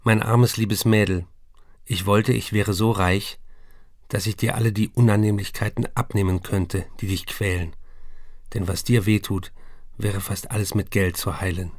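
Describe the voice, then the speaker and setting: A man's voice: fairly low pitch, fairly even intonation, normal speed, no room sound, studio quality. An actor playing an affectionate, self-doubting writer in his thirties, reading his love letters intimately and earnestly in a radio play. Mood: tender.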